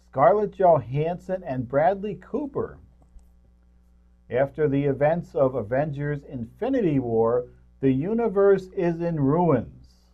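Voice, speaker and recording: A man co-hosting a movie show with casual, somewhat halting talk, feeling for his words. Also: on the high side. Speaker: co-host of a movie review show. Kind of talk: casual unscripted movie chat. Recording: slightly dull, lo-fi sound.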